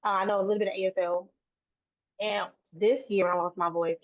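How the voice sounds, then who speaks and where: A woman's voice: mid-range voice, fairly even intonation, brisk, dry recording. A woman guest talking earnestly in a casual interview, restarting and repeating phrases as she goes. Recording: dry, tinny phone-like line.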